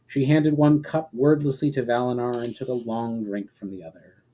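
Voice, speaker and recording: A man giving a dramatic, deliberate storybook narration of a fantasy tale, as an author reading aloud. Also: mid-range voice, fairly even intonation, warm tone, rough recording.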